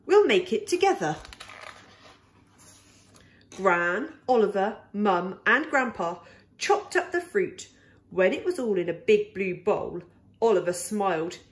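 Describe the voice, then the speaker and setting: Slow, lively woman reading a story. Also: mid-range voice. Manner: deliberate, animated delivery for young children. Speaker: storyteller reading a picture book. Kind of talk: expressive children's story read-aloud.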